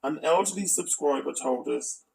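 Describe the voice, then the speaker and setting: A man's voice: on the high side, normal speed, creaky, a little mellow, far from the mic, rough recording. A man narrating a scripted exposé as a video commentator, with asides and a critical, accusatory manner.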